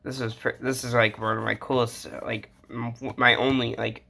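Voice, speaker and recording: A man's voice: mid-range voice, flat delivery, normal speed, vocal fry, pushed and bright. A man talking casually and informally as he shows off a collection, rambling as he goes. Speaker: host showing a radio collection. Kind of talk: casual hobby show-and-tell.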